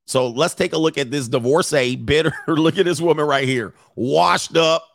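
A man's voice: a little high, normal speed, creaky, no room sound, clean studio sound. A man in his forties hosting mocking reaction commentary, brash and slangy, laughing often. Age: 40s. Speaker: commentary host.

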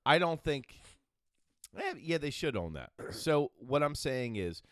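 Podcast man with a lively, swinging pitch, dry close sound, excitable and argumentative, swears freely. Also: unhurried, dry recording. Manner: excitable, swears, argues in run-on rambles. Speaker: podcast co-host. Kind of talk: casual banter.

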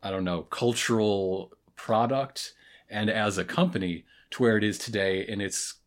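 A man's voice: lowish voice, moderate pace. A man hosting a podcast in casual chat, with a wry, rambling and somewhat hesitant manner. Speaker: podcast host.

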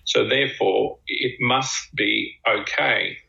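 A man co-hosting a talk show, musing slowly in a reflective, conversational way. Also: lowish voice, gravelly, sharp tone. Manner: slow, musing, with drawn-out phrases. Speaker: podcast co-host. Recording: dull lo-fi sound.

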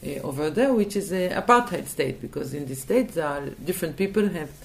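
A woman's voice: low voice, expressive, brisk, creaky. A woman from Israel, answering in a thoughtful interview and hesitating and restarting as she looks for words. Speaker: interview guest.

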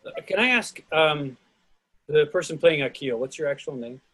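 A man interviewing in a casual, thoughtful discussion, speaking in a halting, roundabout way. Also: a little high, sing-song, a bit bright, breathy edge. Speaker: interviewer at a theatre talkback.

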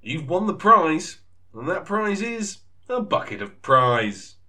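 Male comedy podcast host, mock-serious, deadpan wording, bright forceful tone, lively intonation. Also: on the high side, slow pace. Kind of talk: mock-serious sketch presenting.

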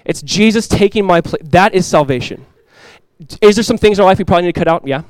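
A man with a fairly high voice preaches in an earnest, conversational way. He keeps asking his listeners rhetorical questions. The sound is dry and close to the mic. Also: lively intonation, quick pace, no echo. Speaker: pastor. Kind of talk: expository sermon.

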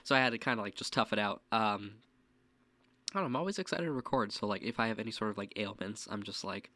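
Young man, podcast co-host, slightly low voice, a bit nasal from a sore throat, easygoing, dry close recording. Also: quick pace, bright, forceful tone, no room sound. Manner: chatty, promotional, easygoing. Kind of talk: casual chat.

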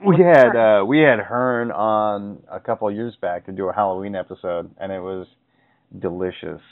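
A man with a fairly low voice hosts an informal interview, talking in a loose, joking, conversational way. The audio is dry and muffled, with a narrow lo-fi sound. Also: normal speed, no echo. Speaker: podcast host interviewing a guest. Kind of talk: casual joking chat. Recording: dull, lo-fi audio.

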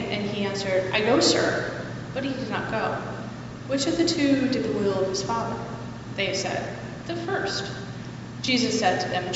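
A woman reading scripture aloud at a church service in a formal, measured and expressive way, with deliberate phrasing. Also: a little high. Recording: very echoey hall, distant mic, noisy, muffled.

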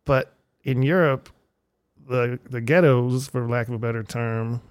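A man in his fifties hosting a casual podcast interview, talking in a relaxed, conversational way. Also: medium pitch, unhurried, no echo, clean studio sound. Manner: relaxed, conversational, talks at length. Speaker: podcast host.

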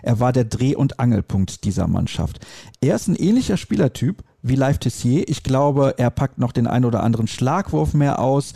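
Gravelly, mellow-voiced male sports talk host. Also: mid-range voice, expressive, normal speed, dry recording, studio quality. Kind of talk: conversational sports discussion.

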